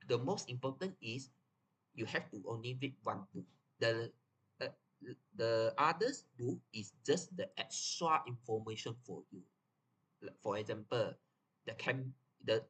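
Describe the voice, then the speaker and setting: A man's voice: medium pitch, a bit bright, breathy edge, rough recording. A young man with a Malaysian English accent, giving a casual, chatty lesson on study tips. He often repeats himself and ends his phrases with "lah". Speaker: tutor.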